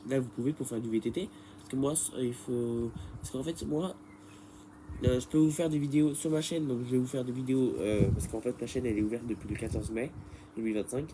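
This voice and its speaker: A man's voice: medium pitch, steady tone, a little fast, noisy background. A man with a head cold explaining a bike repair in a casual, hesitant way, full of fillers and restarts. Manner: hesitant and rambling, many fillers. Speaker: bike repair tutorial maker.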